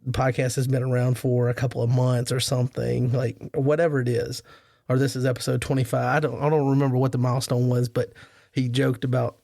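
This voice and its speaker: Male podcast host, casual rambling storyteller with a self-deprecating streak, narrow pitch range, dry close sound. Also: little pitch movement, brisk, vocal fry, dark tone, dry recording. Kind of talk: casual roundtable chat.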